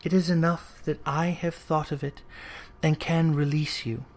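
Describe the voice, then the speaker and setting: A narrator voicing a gentle, dignified young woman who speaks with earnest sorrow and resolve in an old storybook drama. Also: mid-range voice, flat delivery, unhurried. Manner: earnest, soft-spoken, dignified.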